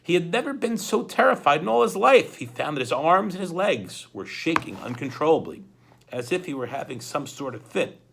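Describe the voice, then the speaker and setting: Male read-aloud narrator: slightly high pitch, very animated, dramatic storytelling for children, small stumbles. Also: normal speed, vocal fry, a little hiss or hum. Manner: dramatic, with stumbles over words. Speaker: storybook reader, school principal.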